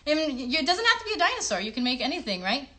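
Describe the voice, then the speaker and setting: High, bright-voiced woman hosting for kids. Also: sing-song, fast talker. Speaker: host of a children's puppet show. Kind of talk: chatty livestream for young children.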